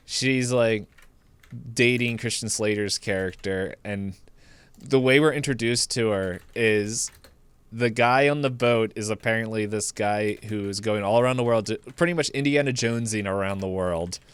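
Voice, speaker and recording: Man rambling through a clear-voiced comic recap. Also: lowish voice, normal speed, dry recording. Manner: rambling, acts out characters' lines in paraphrase; laughs through the telling. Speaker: podcast co-host recapping a movie. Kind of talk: casual chat, comedic movie recap.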